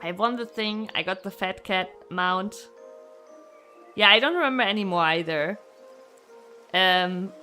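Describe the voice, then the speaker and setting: A woman chatting casually as a game streamer, in a rambling, animated way with casual swearing. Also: medium pitch. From Germany. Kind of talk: casual live gameplay chat. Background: music.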